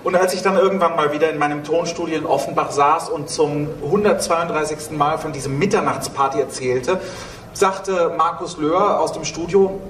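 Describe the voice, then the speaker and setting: A man's voice: a little high, normal speed, fairly bright tone. A man in his thirties, a producer of audio dramas, talking on a panel on stage. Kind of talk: panel talk. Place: on stage in a dark event hall. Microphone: handheld microphone, heard through room. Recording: roomy hall sound, distant, faint noise.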